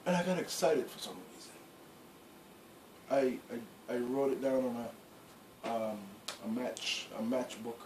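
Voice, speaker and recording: A middle-aged man tells his story in a loose, rambling way, leaning on "you know" and starting over often. His voice sits at an ordinary middle pitch. It was recorded in an echoey room with a faint hum of background noise. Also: animated, unhurried. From Guyana. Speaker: clothing brand founder. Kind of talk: casual storytelling interview.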